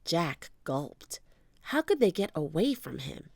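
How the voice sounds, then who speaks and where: A woman reading a children's book aloud as an expressive storyteller. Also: sits low, takes it slowly, gravelly, husky, no echo, studio quality.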